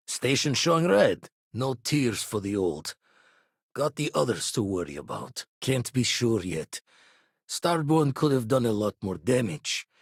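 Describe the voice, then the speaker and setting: Game role: seasoned ex-pirate space explorer, gruff and wry, rough husky tone, very animated inflection, slangy turns of phrase. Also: male voice, medium pitch, no room sound, clean studio sound, heavily acted. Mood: businesslike. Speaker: video game voice actor. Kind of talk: scripted game character dialogue.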